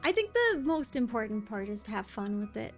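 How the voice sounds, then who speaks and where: High-voiced, encouraging woman host. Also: big pitch swings, fairly quick, soft background music. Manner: encouraging, upbeat, teasing. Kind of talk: casual chat.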